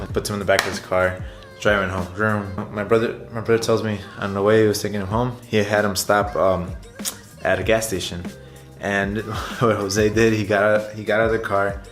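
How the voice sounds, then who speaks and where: Young guy vlogger telling a story, kinda deep voice, echoey room, music underneath. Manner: animated retelling, chuckles often, addresses viewers as guys. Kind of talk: casual storytime to camera. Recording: roomy echo, music underneath.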